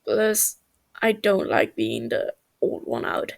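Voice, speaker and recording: A woman voicing a stern, big-brotherly male villain with a dry, calm manner in a story reading. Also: low voice, slow, gravelly, pitched below the performer's own voice, full character voice. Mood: awkward, casual. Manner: dry, calm, hesitant when sincere.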